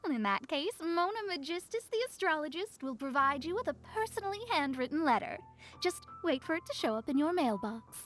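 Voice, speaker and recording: An actress playing a proud young woman astrologer, sharp-tongued, wry and pompous, in a video game cutscene. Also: high voice, sing-song, fairly quick, soft background music. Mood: self-important.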